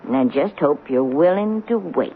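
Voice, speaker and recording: A kindly elderly woman in a radio drama, earnest and sorrowfully moralizing. Her pitch moves up and down expressively. The sound is a tinny old broadcast with faint noise. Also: animated, moderate pace. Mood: tender, pleading. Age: older. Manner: earnest, lamenting.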